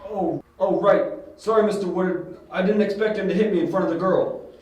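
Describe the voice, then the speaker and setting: Man playing a high-voiced servile henchman, echoey. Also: fairly quick, a little mellow, natural voice. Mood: cowed, apologetic. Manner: hesitant, servile. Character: cowed, apologetic male henchman, dim and servile. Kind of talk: hobby audio drama acting. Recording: very echoey, distant.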